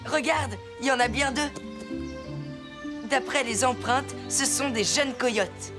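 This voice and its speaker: A woman's voice: sits high, slow, music in the background. A woman voicing a brave, kind and determined young boy in a French cartoon. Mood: curious, focused.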